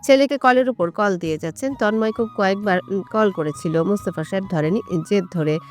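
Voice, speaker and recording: A woman's voice: mid-range voice, brisk, soft background music. A woman reading a scripted romantic story as its narrator.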